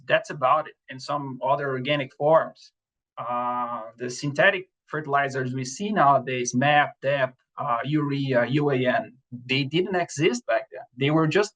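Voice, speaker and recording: A man's voice: mid-range voice, poor audio. Brazilian-accented man giving a webinar lecture. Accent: Brazilian Portuguese. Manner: relaxed, frequent fillers and restarts. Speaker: presenter, assistant professor.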